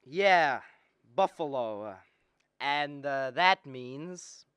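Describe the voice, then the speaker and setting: A young actor playing a restless, kind-hearted man in a radio drama, halting and wry in delivery. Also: medium pitch, expressive, fairly bright tone, smooth, dry recording. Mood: downcast, wry. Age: young adult. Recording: faint background noise.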